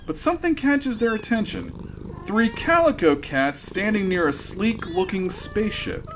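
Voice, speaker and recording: A man's voice: sits high, wildly swooping pitch, moderate pace, fairly bright tone, a little hiss or hum, exaggerated voice. A man playing a wry, theatrical narrator of a sci-fi comedy radio drama.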